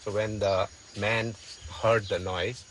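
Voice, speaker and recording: A man's voice: lowish voice, little pitch movement, slow, fairly bright tone, slight background noise. A man with a Nepali accent, a local guide and interpreter explaining things plainly on location.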